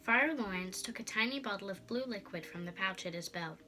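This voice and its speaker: A woman's voice: medium pitch, lively intonation, brisk, pushed and bright. A female storyteller reading a storybook aloud in a dramatic narrating style.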